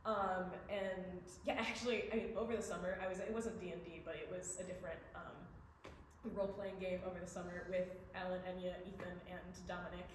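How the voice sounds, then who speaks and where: A woman's voice: lowish voice, little pitch movement, a little fast. Young woman in a very echoey room. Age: young adult. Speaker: student playwright. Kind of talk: casual post-show Q&A answers. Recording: very echoey room, faint background noise.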